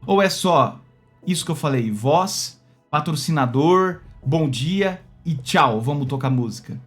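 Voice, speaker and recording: A Brazilian man hosting a casual opinion video, talking to viewers in an expressive, conversational way that often seeks their agreement. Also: fairly high pitch, unhurried, soft background music. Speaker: YouTube channel host.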